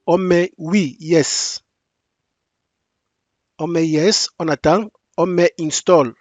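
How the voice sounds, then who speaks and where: A man with a fairly high voice, recorded dry with no room sound, guides listeners through an installation. French is not his first language, so he speaks haltingly, restarts his phrases and repeats each instruction step by step. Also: steady tone, no room sound. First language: English. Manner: halting non-native French with frequent restarts. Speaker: software tutorial presenter. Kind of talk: screen-recorded software tutorial.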